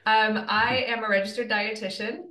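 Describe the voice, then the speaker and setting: A woman from Michigan, a registered dietitian, explaining cheerfully and clearly as a guest in an interview. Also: a little high, steady tone, moderate pace, pushed and bright, reverberant, low-quality recording. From Michigan, USA. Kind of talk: casual interview chat.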